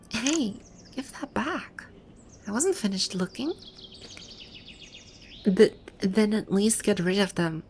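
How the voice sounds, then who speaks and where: Slow-spoken, haughty, flustered boss villainess acted by a woman. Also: medium pitch, slight background noise. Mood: flustered, indignant. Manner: bossy, stammering, halting. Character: haughty, flustered female video-game boss brought to life. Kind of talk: ASMR roleplay audio drama.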